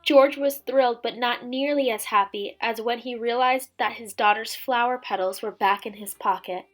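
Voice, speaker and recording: A woman reading a children's story aloud as a teacher would, with dramatic, expressive delivery. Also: on the high side, moderate pace. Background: background music.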